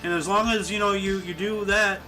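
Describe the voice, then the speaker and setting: Bright, high-voiced rambling man. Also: fairly even intonation, moderate pace, soft background music, low-quality recording. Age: 30s. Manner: rambling, many fillers like "you know". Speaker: podcast host. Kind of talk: casual group chat.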